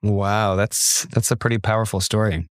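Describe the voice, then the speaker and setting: A man hosting an interview, asking questions in a measured, polished, attentive way. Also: lowish voice, little pitch movement, no room sound, studio quality. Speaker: interviewer host.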